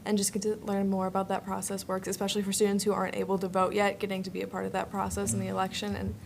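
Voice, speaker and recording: A woman's voice: medium pitch, monotone, racing, gravelly. Teen girl giving a club presentation, chatty n upbeat, bit of room noise behind her. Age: teens. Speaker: student club member presenting. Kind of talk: informal group presentation. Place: indoor school board meeting room. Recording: faint room noise, public meeting.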